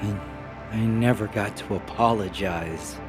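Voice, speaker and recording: A man's voice: fairly low pitch, slow, music in the background. A man voicing a brash, jittery young male vampire in an audio drama, with slangy, stammering speech. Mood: grieving.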